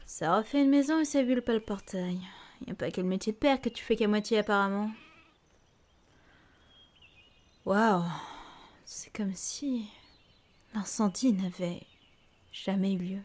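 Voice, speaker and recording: A woman's voice: mid-range voice, moderate pace, darkish tone, lightly acted. An actress playing a sarcastic, foul-mouthed young woman with a grudge, in an audio drama. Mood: wry, stunned. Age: young adult. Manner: sarcastic, casual, swearing.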